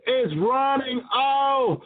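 Male preacher, very high pitch, fervent repetitive exhortation, tinny phone-like radio audio. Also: drawn-out words. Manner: emphatic, repetitive, exclaiming preacher's delivery. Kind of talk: fervent apocalyptic sermon. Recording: thin phone-like radio sound.

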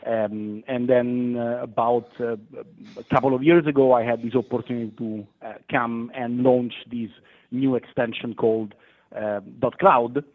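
Man, italian accent, tinny phone line, hesitant, lots of ums, medium pitch. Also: steady tone, a little slow. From Italy. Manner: hesitant, many fillers and restarts. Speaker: guest. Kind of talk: phone interview. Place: London.

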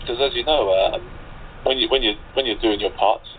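A man's voice: mid-range voice, brisk. A man chatting casually as a voice actor, hesitant and apologetic in the way he talks. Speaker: voice actor in a radio drama group. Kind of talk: casual chat. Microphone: internet call. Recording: tinny phone-like line, faint noise.

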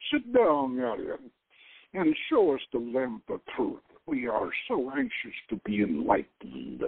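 Casting: man playing sarcastic, intimidating tycoon villain, gruff Dracula accent, audiobook drama, tinny narrow-band sound. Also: medium pitch, huge pitch swings, a little slow, voice pushed down, exaggerated voice. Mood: sardonic. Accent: Dracula-style Eastern European.

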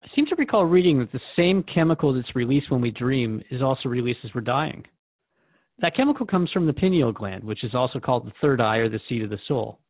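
A man's voice: mid-range voice, animated, a little fast, no room sound, narrow, tinny audio. A man playing a curious, mildly skeptical radio interviewer in a scripted drama, thoughtful and conversational. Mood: inquiring. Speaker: radio host.

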